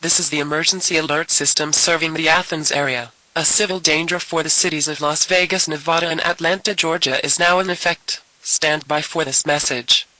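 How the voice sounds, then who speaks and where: A man with a fairly high voice reads an official emergency alert. The sound is extremely bright and forceful, and the delivery is formal and impersonal. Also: fairly even intonation, a little fast, hardly any pauses, dry recording, poor audio. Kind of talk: scripted emergency alert announcement.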